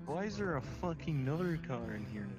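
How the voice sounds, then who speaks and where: A man's voice: on the high side, sing-song, a little fast. A man in his twenties in casual game chat, brash and profane with slangy banter. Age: 20s. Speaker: social VR game player. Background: loud music.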